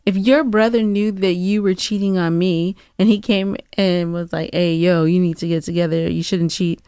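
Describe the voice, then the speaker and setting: Female podcast co-host, slightly low pitch, dry close sound, opinionated and challenging in relaxed conversation. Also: normal speed, smooth, no room sound. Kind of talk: casual conversational advice discussion.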